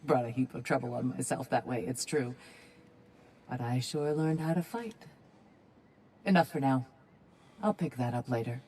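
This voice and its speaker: Blunt, low-voiced warrior woman. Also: normal speed, pauses often, warm tone, a little hiss or hum. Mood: frank, reminiscing. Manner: blunt, matter-of-fact, unrepentant. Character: plain-spoken, fearless, proud warrior woman of humble birth. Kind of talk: dramatic video game acting.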